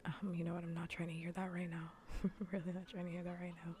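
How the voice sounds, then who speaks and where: A woman hosting a livestream, chatting casually in a soft, breathy, whispery ASMR voice and rambling with dry humour. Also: fairly low pitch, little pitch movement, fast talker, music in the background. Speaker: livestream host. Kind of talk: casual ASMR stream chat.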